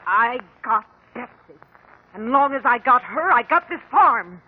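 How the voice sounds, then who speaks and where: A woman's voice: on the high side, animated, unhurried. A woman playing a hard, greedy ex-convict mother with an American accent, tough and sneering, in a vintage radio drama. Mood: threatening. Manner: tough, sarcastic, slangy. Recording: tinny, narrow 1950 radio recording.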